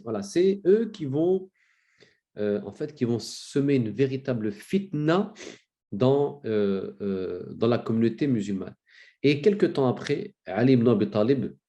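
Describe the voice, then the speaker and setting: A man with a cold giving a spoken lecture, telling a story with many fillers and restarts and rising in pitch for emphasis. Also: warm tone. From France. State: has a cold. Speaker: lecturer on religious history.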